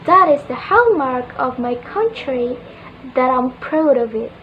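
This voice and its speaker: A young woman with an Indonesian accent, a university student giving a prepared spoken reflection in English, slow and careful, calling her listeners "guys". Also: high-pitched, lo-fi sound, slight background noise. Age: young adult.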